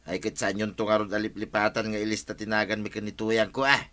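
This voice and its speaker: A man's voice: on the low side, completely flat delivery, fast talker, fairly bright tone, close to an everyday voice. A man playing an aggrieved poor village husband in a comedy radio drama, grumbling and insistent. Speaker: actor in an Ilocano comedy drama.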